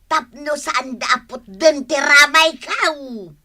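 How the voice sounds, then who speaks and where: A woman's voice: sits high, slow pace, bright, forceful tone, full character voice. A woman with an Ilocano Filipino accent playing a vain, carefree village woman in a comedy radio drama. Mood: showy, dismissive.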